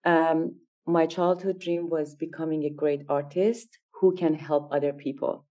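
Woman from Iran, low voice, mellow tone, hesitant, pauses to find words. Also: steady tone. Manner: hesitant, searching for words in a second language. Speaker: guest fashion designer. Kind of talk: interview answers.

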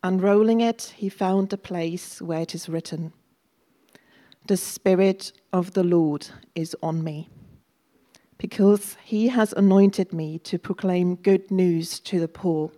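A woman reading a scripture passage aloud in a measured, deliberate way, as part of a chapel service. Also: fairly low pitch, fairly even intonation, mellow. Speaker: scripture reader.

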